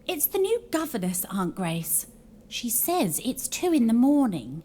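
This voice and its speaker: A woman's voice: a little high, sing-song, a little slow, dark tone. A woman playing a put-upon lady's companion, bitter and complaining, in an audio drama. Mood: informing.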